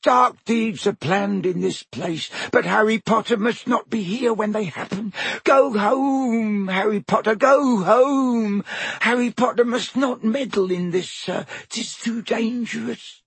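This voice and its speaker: A squeaky, servile male house elf, all nerves and pleading, in a storybook reading. The voice is high and husky, recorded close and dry. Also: slow pace, no echo, exaggerated voice. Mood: frantic. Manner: servile, high-pitched pleading, many "sir"s. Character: timid anxious male house elf servant.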